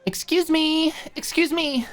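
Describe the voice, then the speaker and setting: A woman voicing an anxious, eager young female fashion student in an audio story, flustered, stammering and quick to apologize. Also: high-pitched, pitched above the performer's own voice, rough recording.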